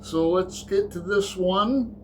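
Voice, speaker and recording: A man hosting his book channel in a casual, rambling chat, halting and restarting his sentences often. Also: high-pitched, slight background noise, rough recording.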